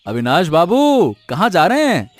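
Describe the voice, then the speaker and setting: A man's voice: high voice, wildly swooping pitch, measured pace, exaggerated voice. A man voicing a scheming, fawning village troublemaker in a cartoon moral story, with a wheedling manner. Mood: eager, sly. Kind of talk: animated moral story dialogue in Hindi. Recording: music behind the voice.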